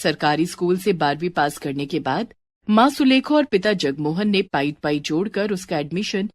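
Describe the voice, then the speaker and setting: A woman's voice: fairly low pitch, lively intonation, normal speed, no echo, studio quality. A woman reading a scripted story as its narrator.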